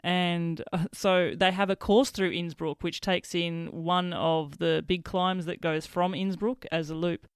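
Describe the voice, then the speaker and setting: A woman's voice: fairly low pitch, steady tone, unhurried, hardly any pauses, clear, resonant tone, dry recording, studio quality. A woman hosting a podcast interview, chatty and asking long, rambling questions. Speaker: podcast host. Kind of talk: casual interview chat.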